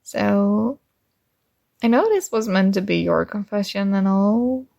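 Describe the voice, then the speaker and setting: A woman's voice: medium pitch, slow, gravelly, mellow. A woman playing a kind, earnest and oblivious female friend in an intimate ASMR roleplay, speaking casually and a little hesitantly. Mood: sheepish, affectionate. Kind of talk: ASMR roleplay, intimate one-sided dialogue.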